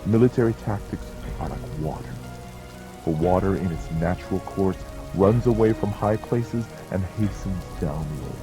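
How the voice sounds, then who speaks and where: A man with a low voice narrates a dramatized ancient treatise. His delivery is solemn and measured, set in short phrases. Music and rain play clearly behind him. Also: poor audio. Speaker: audiobook narrator. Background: background music with falling rain.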